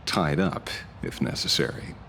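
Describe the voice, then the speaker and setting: A man's voice: a bass voice, measured pace, gravelly, fairly bright tone, a little hiss or hum, full character voice. A man playing a swaggering, theatrical space ranger leader in a game cutscene, teasing and flamboyant. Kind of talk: dramatic game cutscene acting.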